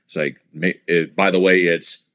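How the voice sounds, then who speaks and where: An American man in his thirties, a stadium announcer, talking casually as an interview guest and explaining things in a halting, conversational way. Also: low voice, level tone, a bit bright. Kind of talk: casual interview talk, anecdotal.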